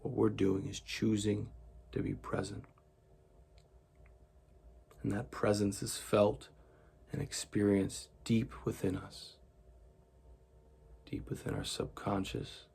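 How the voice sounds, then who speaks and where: A man leading a guided meditation in a gentle, soothing, deliberate way, with a gravelly, husky voice. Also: on the low side, level tone.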